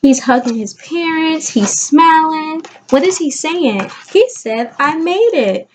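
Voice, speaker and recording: A woman kindergarten teacher giving an expressive read-aloud lesson to young children, coaxing listeners with questions and stressing key words. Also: sits high, slow, slight background noise.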